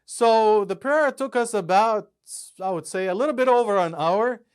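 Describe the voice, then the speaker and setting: A man's voice: high-pitched, moderate pace, clear, resonant tone. Man patiently explaining his dictionary. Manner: patient, step-by-step explaining with examples. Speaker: author and imam presenting his Quran dictionary. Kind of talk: explanatory talk about a book.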